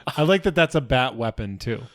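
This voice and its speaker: A man's voice: mid-range voice, expressive, a little fast, fairly bright tone. A man chatting casually as a podcast co-host, with a wry, joking manner. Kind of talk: casual comedic chat.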